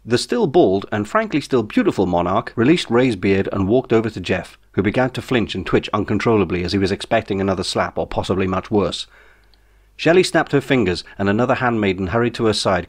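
A man's voice: lowish voice, lively intonation, a little fast. A man reading a comic novel as scripted audiobook narration, in a wry storytelling manner. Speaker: author reading own novel.

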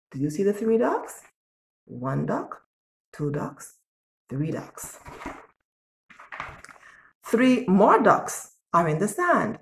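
A woman in her sixties reading a picture book aloud to young children in an expressive, deliberate way, as a storyteller. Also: mid-range voice, a little hiss or hum. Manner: expressive, deliberate, asks the listener questions. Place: small bare-walled room indoors. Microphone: phone or tablet at arm's length. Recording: echoey room, device at arm's length.

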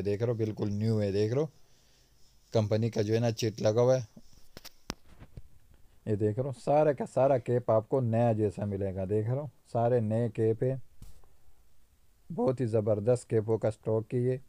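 A man with a Karachi Urdu accent shows off stock in a warehouse, talking into a handheld phone. His voice sits low and flat, and the sound is dry and close. His sales patter is insistent and repeats itself. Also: dry recording. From Pakistan. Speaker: market trader showing stock. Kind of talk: casual sales walkthrough. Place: warehouse among sacks of goods. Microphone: handheld phone camera, speaker off screen.

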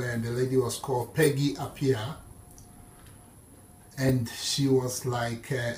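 A man's voice: mid-range voice, far from the mic, a little hiss or hum. A middle-aged man from Ghana with a Ghanaian accent, telling his life story to camera, rambling with many restarts. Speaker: artist. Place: indoor room with paintings behind. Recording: roomy echo, speaker set back from mic.

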